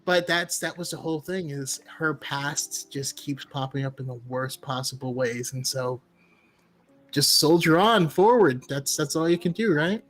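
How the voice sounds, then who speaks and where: Higher-voiced man retelling casually. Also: measured pace. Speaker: co-host of a fan livestream. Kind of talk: casual chat, retelling a story.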